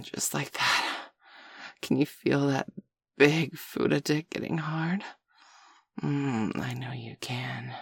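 A woman performing a whispered audio roleplay with a dominant, teasing manner that drifts between soft speech and breathy whispers. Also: very deep voice, dry recording.

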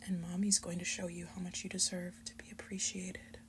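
A woman playing a seductive, doting mature succubus mother figure in breathy, whispered ASMR roleplay, slow, coaxing and soothing. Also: fairly low pitch, little pitch movement, a little hiss or hum, lightly acted. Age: adult.

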